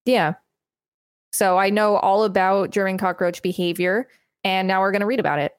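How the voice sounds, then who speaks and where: A woman's voice: medium pitch, fairly even intonation, normal speed, smooth, dry recording, studio quality. A woman co-hosting a podcast, reading an article aloud with casual commentary. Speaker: podcast co-host.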